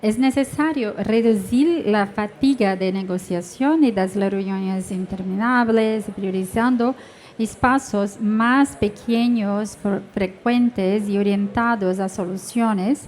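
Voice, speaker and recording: A woman with a Brazilian Portuguese accent, a native Portuguese speaker, carefully and haltingly reading prepared panel remarks in a second language. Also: medium pitch, darkish tone.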